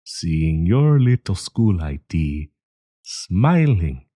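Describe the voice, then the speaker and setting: Casting: male actor as genteel old professor, secretly predatory; deep, swooping delivery, deliberate and playful, for intimate roleplay audio. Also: sing-song, dark tone, heavily acted. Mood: sly, menacing. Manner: courtly, teasing, chuckling, deliberate. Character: kindly old male professor hiding a predatory, possessive menace.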